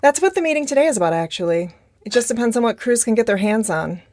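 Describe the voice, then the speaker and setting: A woman's voice: a little high, expressive, fast talker, a little mellow, no room sound, clean studio sound, natural voice. An actress playing an observant, practical woman who plans for a survivors' safe zone, familiar and teasing, in an audio drama. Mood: friendly, matter-of-fact. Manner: familiar, teasing, matter-of-fact.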